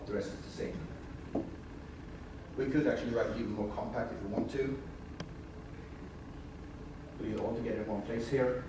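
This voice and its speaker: A man whose first language is Swedish walks through code at a conference, informal and step by step, often restarting his sentences. His voice sits at an ordinary middle pitch. It is picked up from a distance in a very echoey hall with clear background noise. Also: steady tone, moderate pace, long pauses. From Sweden. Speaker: conference presenter. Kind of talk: technical demo walkthrough. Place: large conference room. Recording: echoey hall, voice far from mic, noisy.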